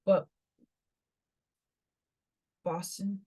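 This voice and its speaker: Slow, musing American woman. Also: on the low side, steady tone, mellow, breathy edge. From New York, United States. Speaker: host of a metaphysical vlog. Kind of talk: reading articles aloud with rambling personal commentary.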